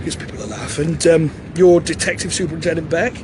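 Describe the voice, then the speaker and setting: A man's voice: a little high, steady tone, brisk, close to an everyday voice. An actor playing an apologetic, awkward policeman with a hesitant, self-effacing manner in a radio drama. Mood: nervous, friendly. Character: apologetic, awkward policeman greeting visiting detectives. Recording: noisy outdoor traffic-like ambience.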